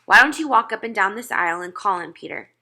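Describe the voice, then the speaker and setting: Woman reading as a practical, bright-voiced dad. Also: medium pitch, lively intonation, quick pace, gravelly, heavily acted. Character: practical, decisive father of two young boys.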